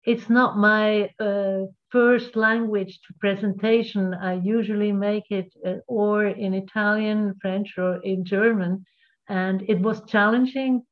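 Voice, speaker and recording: A woman presenting a workshop, guiding the group in a slow, gentle cadence over a video call. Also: mid-range voice, steady tone. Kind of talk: guided meditation and group talk. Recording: tinny call audio.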